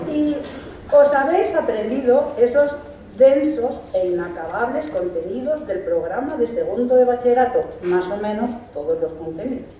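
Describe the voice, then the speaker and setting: A middle-aged woman with a Spanish accent, a teacher reading a formal graduation speech from a lectern, measured and emphatic. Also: a little high, dark tone, far from the mic, a little hiss or hum. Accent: Spanish from Spain. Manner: measured, emphatic delivery with lists. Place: large hall stage. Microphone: stand mic at a lectern. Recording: echoey hall, recorded from afar.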